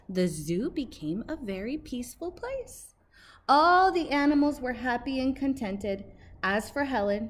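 Female storybook reader for children: higher-pitched, expressive teacher delivery, recorded outdoors on a device with light ambient noise. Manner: emphatic, pausing to ask listeners questions. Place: outdoors by a brick building entrance. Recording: faint outdoor background noise.

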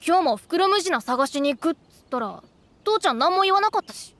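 Brash high-voiced little boy. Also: animated, fast talker, a bit bright, slight background noise. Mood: boastful. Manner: rough, defiant speech. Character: brash, stubborn young village boy. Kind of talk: video game voice acting. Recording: background music under the voice.

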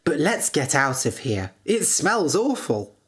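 A woman's voice: sits low, big pitch swings, unhurried. A woman voicing a bold, no-nonsense young female adventurer in a storybook tale, speaking bluntly and directly. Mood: confident.

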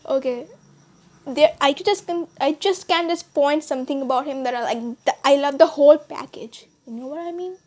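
A woman's voice: high voice, normal speed, a little mellow. A teenage girl from India with an Indian accent, chatting casually to her subscribers, rambling with many restarts and fillers. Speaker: YouTuber. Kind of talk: casual vlog chat. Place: small bedroom, indoors.

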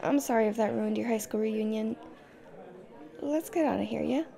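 A woman's voice: on the high side, moderate pace, creaky, dark tone, a faint crowd. A woman playing a tough, fiercely protective young girlfriend in an audio roleplay, speaking directly to the listener. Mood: tender, protective.

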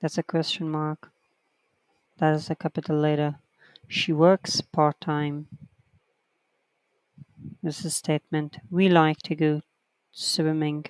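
A writing teacher giving an online lesson, reading textbook sentences aloud slowly and counting the corrections one by one. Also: medium pitch, level tone, smooth, no room sound, poor audio.

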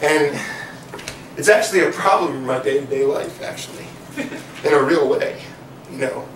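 Young male spoken word poet, high, rhythmic rap delivery, caught by a far camera in an echoey room with faint hiss. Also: moderate pace. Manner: rhythmic rapped verses between soft, rambling chat. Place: living room of a house, small audience on couches. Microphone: far camera, no mic.

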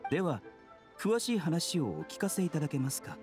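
Gentle game doctor, polite, composed, slightly high, melodic intonation, measured formal delivery. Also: male voice, very animated, music in the background. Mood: calm, courteous. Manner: polite, measured, soft-spoken formal speech. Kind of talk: scripted game dialogue.

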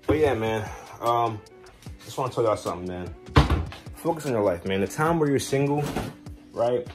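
A man in his twenties chatting casually to camera in a slangy, halting way, recorded on a handheld phone indoors. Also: mid-range voice, lively intonation, over music. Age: 20s. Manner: informal, slangy, halting, often says "man". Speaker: vlogger. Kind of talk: casual rambling chat to camera. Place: indoors at home, moving between rooms. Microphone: handheld phone at arm's length.

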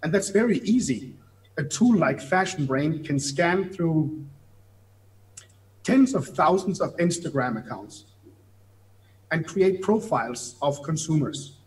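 A man with a German accent speaks over a video call from an office, his voice sitting a little high for a man. He explains ideas patiently, piling up examples with small hesitations. He sounds like an invited guest on an interview stream. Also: lively intonation, slow pace, long pauses, poor audio. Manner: explanatory, gestures while talking, some hesitant fillers. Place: office room. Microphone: video call webcam.

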